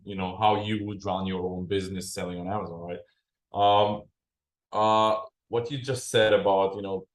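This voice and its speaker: Man, lowish voice, logistics business guest, relaxed explainer, echoey room. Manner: unhurried, explains with frequent fillers and restarts. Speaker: freight forwarding company founder, podcast guest. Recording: roomy echo.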